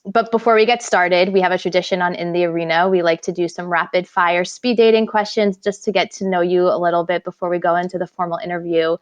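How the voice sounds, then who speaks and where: A woman's voice: fairly low pitch, steady tone, fast talker, few pauses. Female podcast co-host, effusive and chatty, on a slightly muffled lo-fi track. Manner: effusive, enthusiastic. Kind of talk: conversational podcast interviewing.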